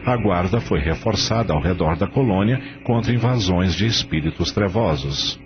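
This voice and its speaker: A man's voice: low-pitched, animated, normal speed, lo-fi sound. A Brazilian man narrating a radio novel in a dramatic scripted style. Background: music.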